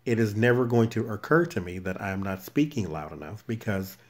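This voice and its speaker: A man with a very soft, naturally muffled voice, chatting casually to his viewers as a tarot channel host, recorded on a phone at home. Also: on the low side, expressive. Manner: quiet, unhurried, never raises his voice. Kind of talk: casual vlog chat to viewers. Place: shared room at home. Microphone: cell phone camera.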